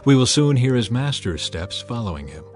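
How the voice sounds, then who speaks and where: Commanding prophet in a scripture drama, read by a man, music softly behind. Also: mid-range voice, very animated, normal speed, close to an everyday voice. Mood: solemn. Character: calm, commanding male prophet.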